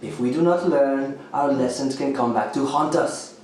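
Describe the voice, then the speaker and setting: A man's voice: mid-range voice, moderate pace, a little mellow, natural voice. An actor playing a needy, apologetic male houseguest in a radio thriller, hesitant and eager to please, with theatrical flourishes. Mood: soothing, earnest. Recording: very echoey room, music underneath.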